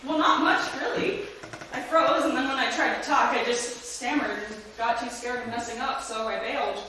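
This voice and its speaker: A woman playing a naive, nervous young boy, earnest and excitable, in a children's show parody. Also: on the high side, normal speed, a little hiss or hum. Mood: shy, flustered. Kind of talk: staged reading of a children's TV parody. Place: large echoey room at a staged reading. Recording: echoey hall from far off, faint music.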